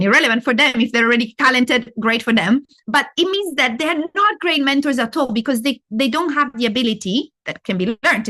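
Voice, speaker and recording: A woman's voice: fairly high pitch, fairly bright tone. Italian-accented woman teaching a class. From Italy. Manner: explains step by step, asks and answers her own questions. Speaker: presenter of a live masterclass. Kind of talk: interactive talk on learning.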